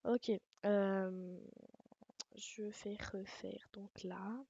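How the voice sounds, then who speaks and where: Gravelly woman with an Alsatian accent. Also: medium pitch, fairly even intonation, drawn-out words, lo-fi sound. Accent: Alsatian French. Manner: rambling, swears, self-mocking jokes. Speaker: gaming channel host. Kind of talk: casual game tutorial commentary. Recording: loud background noise.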